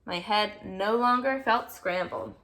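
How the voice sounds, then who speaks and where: A woman voicing an earnest, anxious-to-please male egg in an expressive children's picture-book read-aloud. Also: medium pitch, a little slow, lightly acted. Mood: reflective. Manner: expressive storybook reading with playful emphasis.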